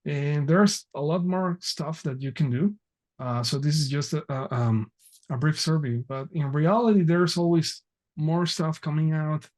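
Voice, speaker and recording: A man presenting an online technical workshop over a video call, talking haltingly with many fillers and restarts. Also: fairly high pitch, darkish tone.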